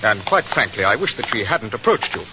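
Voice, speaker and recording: Dignified British aristocrat, mid-pitched, lively intonation, formal, noisy vintage radio recording. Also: male voice, fairly quick. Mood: polite reserve. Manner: polite, formal. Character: dignified, reserved, proud English lord. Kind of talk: 1940s radio acting. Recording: dull, noisy, crackling 1940s radio recording.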